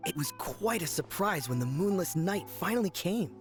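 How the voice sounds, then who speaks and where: A man's voice: high-pitched, animated, moderate pace, music in the background. A man voicing an earnest, musing townsperson in a video game.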